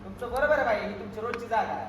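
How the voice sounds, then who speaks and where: A man's voice: high voice, animated, rapid-fire. A man playing a bossy work-crew foreman who cajoles and commands, in an amateur stage play recorded in an echoey hall. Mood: exasperated, coaxing. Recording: echoey hall from far off, noisy audience.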